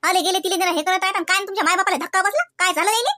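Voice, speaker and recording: A woman's voice: extremely high pitch, racing, pushed and bright, no echo, studio quality. A woman playing a dramatic, protective middle-aged village mother-in-law in a comedy cartoon, exclaiming indignantly in a Varhadi Marathi accent. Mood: indignant, boastful. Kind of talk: amateur comedy cartoon dialogue.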